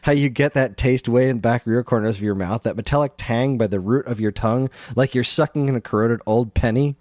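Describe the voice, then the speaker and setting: A man's voice: medium pitch, fairly quick, a little mellow, no echo, studio quality, close to an everyday voice. A man voicing an exasperated, disgusted game narrator who scolds the player in a sarcastic, incredulous comic reading. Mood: grossed out.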